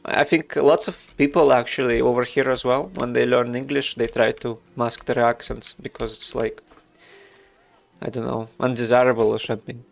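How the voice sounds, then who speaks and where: A man's voice: mid-range voice, little pitch movement, normal speed, vocal fry. A man from Russia with a Russian accent, chatting casually while streaming a game, thinking aloud with many fillers. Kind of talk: casual gameplay commentary.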